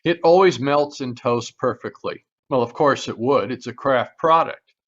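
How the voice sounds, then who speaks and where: A man's voice: mid-range voice, normal speed. A man reading a vintage-style radio commercial as an announcer, with friendly salesman patter, on muffled call audio. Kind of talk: 1940s radio advertisement read. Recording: dull lo-fi video call audio.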